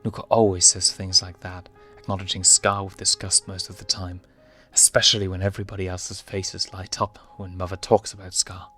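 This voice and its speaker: A man narrates scripted fiction as a storyteller. His voice is deep, yet it has a sharp, forceful edge. Music plays faintly behind him. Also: little pitch movement, normal speed, breathy edge.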